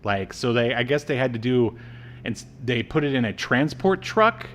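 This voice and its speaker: A man's voice: medium pitch, very animated, normal speed, a bit bright. A man co-hosting a podcast, giving a casual, comic recap with incredulous, mocking asides. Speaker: podcast co-host.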